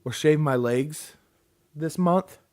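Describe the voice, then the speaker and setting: A man's voice: a little high, slow, darkish tone. A man co-hosting a casual podcast, joking and teasing, often restarting his sentences. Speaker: podcast co-host. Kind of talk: casual banter.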